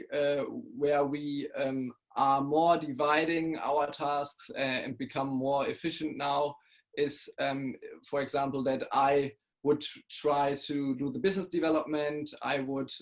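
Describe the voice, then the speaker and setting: A young man from Germany, a startup CEO answering haltingly with many fillers and restarts over a video call. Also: mid-range voice, low-quality recording. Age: young adult. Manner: hesitant, many ums and restarts. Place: home study with bookshelves.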